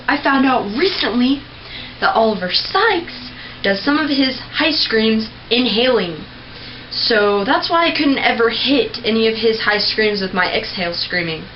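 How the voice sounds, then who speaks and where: A young woman giving a casual, rambling tutorial on screaming technique, clearing her throat often. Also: mid-range voice. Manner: chatty, rambling, with many filler words and throat clearing. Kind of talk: casual home tutorial video. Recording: dull lo-fi home recording with faint noise.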